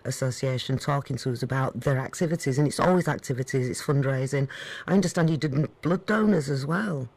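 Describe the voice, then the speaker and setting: A man hosting a conversational radio interview in a studio, putting questions and comments in an affirming, measured way. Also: medium pitch, a little mellow.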